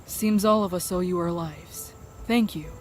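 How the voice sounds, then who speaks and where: A woman playing a weary, worried but courteous camp survivor in an audio drama, gentle and sombre in manner. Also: fairly low pitch, a little slow, slight background noise, natural voice. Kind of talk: audio drama acting, anime fan parody.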